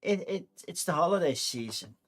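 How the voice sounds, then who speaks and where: A man's voice: a little high, lively intonation, moderate pace, soft background music. A Dutch man in his forties with a Dutch accent, chatting casually and rambling on a livestream, with frequent restarts. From Netherlands. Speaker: streamer.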